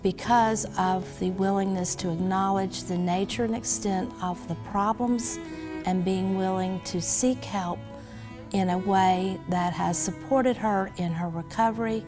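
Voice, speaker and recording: A woman, a court program official, describing a participant in a measured, formal way. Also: medium pitch, fairly even intonation, music in the background. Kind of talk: formal spoken remarks.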